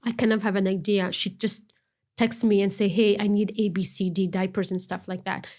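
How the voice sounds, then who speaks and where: A middle-aged woman speaking earnestly in a relaxed interview. Her voice sits at an ordinary pitch, and her phrases often break off and start again. She has an unhurried, conversational way of talking. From Ontario, Canada. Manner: halting, earnest, many restarts. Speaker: guest, non-profit founder.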